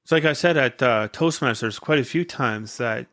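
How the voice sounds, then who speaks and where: A man's voice: medium pitch, moderate pace, a bit bright. A man, a podcast guest, talking earnestly in a casual conversation, as if giving a short speech, with an occasional stutter. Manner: stutters at times.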